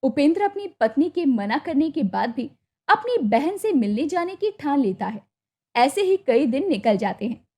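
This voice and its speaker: Very high-pitched woman storyteller. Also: very animated, normal speed, a little mellow. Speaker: narrator. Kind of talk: scripted storytelling narration.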